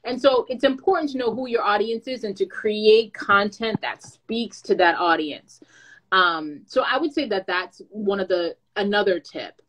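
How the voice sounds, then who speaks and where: A woman who is a content creator and brand manager, giving advice in a lively, chatty way with playful asides. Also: medium pitch, moderate pace, rough recording. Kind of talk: casual advice talk.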